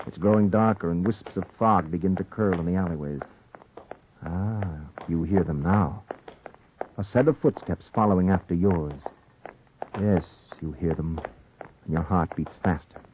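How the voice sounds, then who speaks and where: An actor playing a dogged young newspaper reporter with an American accent in 1940s radio noir style. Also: male voice, deep voice, noisy background. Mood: brooding, ominous. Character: dogged young newspaper reporter narrating his crime story. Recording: muffled narrow-band 1940s radio recording, music behind.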